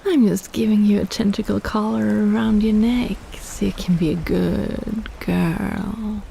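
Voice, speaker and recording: A woman voicing a possessive, flirtatious female kraken in a romantic roleplay audio, teasing in a sultry, playful, unhurried way with sighs. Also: mid-range voice, fairly even intonation, creaky, warm tone, slight background noise.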